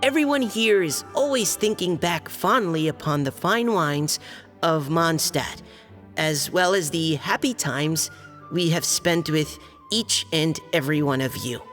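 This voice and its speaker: Shy young knight, careful n slow, game dialogue w some music behind. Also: male voice, fairly high pitch, expressive, fairly bright tone. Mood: formal, measured. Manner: hesitant, polite, careful recitation. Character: shy, earnest young male knight reading aloud a letter. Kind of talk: video game voice acting. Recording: long hall reverb.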